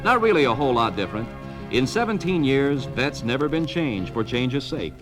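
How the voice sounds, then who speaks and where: A man's voice: medium pitch, sing-song, moderate pace. An American man reads scripted promotional film narration in a punchy, emphatic salesman's delivery, from a vintage 1970 record. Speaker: narrator of a car dealer training film. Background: music.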